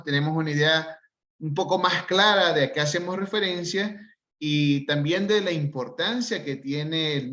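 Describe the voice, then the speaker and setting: A man's voice: fairly high pitch, pushed and bright. Polite moderator man, dull sound. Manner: polite, reads out audience questions. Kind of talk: moderating an online talk. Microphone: video call.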